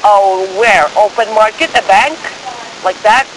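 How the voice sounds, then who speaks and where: A man with non-native English phrasing giving a persistent, partly scripted telephone sales pitch, often checking that the listener follows. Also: unhurried, a bit bright. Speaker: cold-call share scammer posing as broker. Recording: noisy phone call with crackly hiss.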